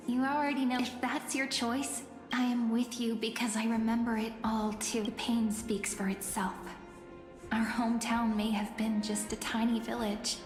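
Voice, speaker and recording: A woman acts a gentle, teasing young game heroine with a fairly high voice. She speaks slowly and earnestly, like an encouraging friend. Long reverb surrounds her, with music behind. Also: lightly acted. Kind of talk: video game voice acting.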